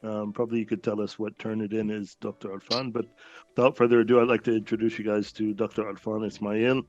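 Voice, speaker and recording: A male host introduces a guest in a loose, friendly way, stumbling through "um"s and "uh"s. His voice sits low, and the recording is dry and a bit dull. Faint music plays underneath. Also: flat delivery, quick pace, a little mellow, dry recording. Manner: friendly, rambling, lots of "um" and "uh". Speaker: interview host. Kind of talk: casual interview introduction.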